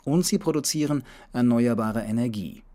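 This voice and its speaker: A man's voice: mid-range voice, moderate pace, warm tone. A man narrating a scripted travel documentary as the journey's own reporter.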